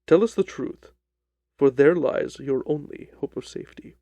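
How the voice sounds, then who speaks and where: A man playing a stern, commanding gentleman detective who speaks in a deliberate, accusing manner. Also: mid-range voice, creaky, no room sound. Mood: firm questioning.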